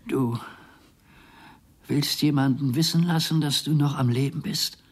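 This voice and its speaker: An actor playing a stubborn, devoted former undertaker in a radio drama, speaking in a halting, earnest way. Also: male voice, fairly high pitch, husky. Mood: anxious, pleading.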